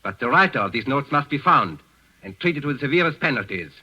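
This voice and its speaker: An actor playing a smug, menacing German district governor in a 1940s radio drama, brisk, formal and self-important. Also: male voice, mid-range voice, expressive, pushed and bright, breathy edge, full character voice. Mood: stern. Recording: dull vintage radio broadcast.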